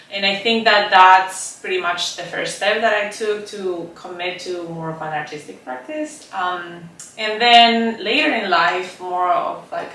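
A woman's voice: lowish voice, far from the mic. A woman in her thirties from Chile, a visual artist speaking thoughtfully in a documentary interview with lots of "like" and "um". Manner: thoughtful, soft-spoken, many "like" and "um" fillers. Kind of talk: documentary interview, reflective talk. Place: roomy painting studio, indoors. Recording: echoey studio room, off-mic.

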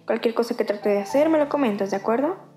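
A woman's voice: on the high side, fast talker, warm tone, natural voice. A woman voicing cartoon country characters in a fan comic dub. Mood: polite. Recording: roomy echo under music.

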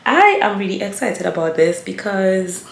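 A woman's voice: mid-range voice, animated, normal speed, vocal fry. Chatty woman vlogging in an echoey room. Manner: chatty, addresses viewers as y'all. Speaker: lifestyle vlogger. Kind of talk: casual talk to camera. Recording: echoey room with faint hiss.

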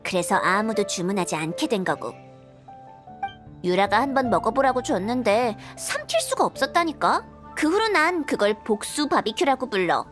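A woman's voice: fairly high pitch, big pitch swings, moderate pace, fairly bright tone, soft background music. An actress playing a cheerful, friendly young woman knight who talks in a frank, bubbly way in a video game.

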